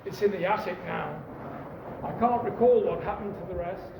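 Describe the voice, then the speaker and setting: A man in his sixties with a posh English accent, reading his poetry outdoors in an animated, storytelling way. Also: high voice, normal speed, gravelly, echoey room, noisy background. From East Africa. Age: 60s. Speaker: poet. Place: outdoors outside a cafe.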